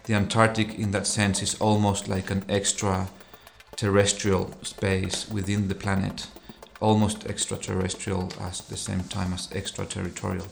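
A middle-aged man from Chile speaks in a very deep, gravelly voice that stays flat and level. He answers interview questions thoughtfully, pausing and restarting phrases, with faint music behind him. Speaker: associate professor, anthropologist.

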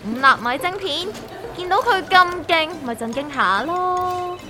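A woman with a Hong Kong Cantonese accent playing a naive, excitable novice stock investor in a comedic radio drama. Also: very high-pitched, a little slow. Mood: eager. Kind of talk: scripted comedic dialogue. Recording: music under the voice.

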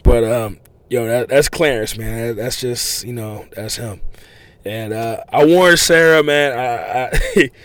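A man hosting a casual, unedited podcast monologue, talking in a loose, rambling way full of fillers and restarts, his voice a little hoarse from overuse. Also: medium pitch, slow pace, a bit bright, no echo. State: losing his voice, a bit hoarse. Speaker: podcast host.

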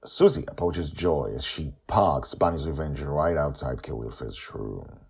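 A man telling a story as host, expressive and emphatic, with dramatic pauses and casual asides. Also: very deep voice, vocal fry, husky.

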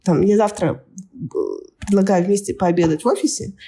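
A woman's voice: medium pitch, moderate pace, warm tone, breathy edge. A woman from Kazakhstan, a guest agile coach, chatting animatedly in an interview with frequent laughs and fillers. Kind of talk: casual interview chat.

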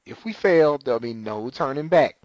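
A man chatting casually about a show, his pitch swinging widely as he retells scenes and slips into characters' lines. The recording is dry and a little dull, like a home podcast setup. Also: animated, moderate pace, darkish tone, dry recording. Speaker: TV recap podcaster. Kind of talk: casual chat, recapping an episode.

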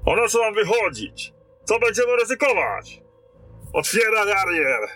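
A man playing a gruff, commanding and foul-mouthed knight lieutenant in a fantasy radio drama. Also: high-pitched, big pitch swings, unhurried, bright, forceful tone, dry recording, soft background music, exaggerated voice, rough recording. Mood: furious, scornful. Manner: brusque, commanding.

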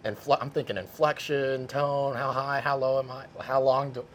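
A man hosting a casual interview podcast, talking in an animated, self-deprecating, conversational way. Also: mid-range voice, moderate pace, a little hiss or hum. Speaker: podcast host, play-by-play sportscaster.

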